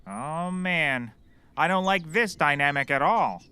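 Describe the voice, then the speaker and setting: Man acting clueless excitable detective, high-pitched, animated, bright, self-important, audio comedy. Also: takes it slowly, smooth, exaggerated voice. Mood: indignant.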